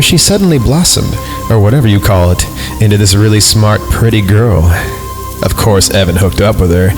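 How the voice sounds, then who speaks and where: Deep-voiced man reading with irreverent asides. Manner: irreverent, sarcastic. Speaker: podcast host reading horror stories. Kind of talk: story reading with casual ad-libbed asides. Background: background music.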